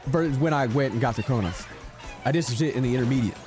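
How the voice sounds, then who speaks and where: A man's voice: mid-range voice, quick pace, darkish tone. A man teasing his friend in profane, casual banter while they play a game on stream. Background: game music.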